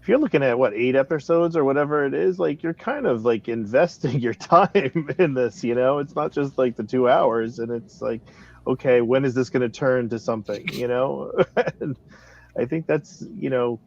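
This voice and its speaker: A man's voice: medium pitch, moderate pace, vocal fry, lo-fi sound. A man chatting casually as a panelist, hesitant and rambling as he thinks aloud. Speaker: talk show panelist. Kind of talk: casual chat.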